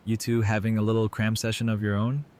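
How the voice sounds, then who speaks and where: Man playing a low-voiced, wry survivor medic. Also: completely flat delivery, quick pace, a little mellow, natural voice. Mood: teasing. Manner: casual, teasing. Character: wry, teasing male medic in a survivor camp. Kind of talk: fan audio drama acting.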